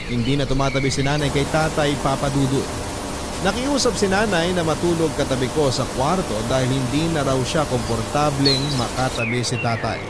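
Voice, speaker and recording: A man performing as a young Filipino son telling his family's story in a dramatized radio-style narration. His mid-pitched voice is earnest and reflective, set over prominent music. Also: lightly acted. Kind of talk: first-person dramatized narration.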